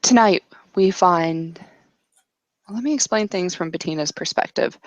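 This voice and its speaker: A woman's voice: lowish voice, moderate pace, no echo. A woman casually narrating an improvised tabletop roleplay as game master, hesitant and thinking aloud as she goes.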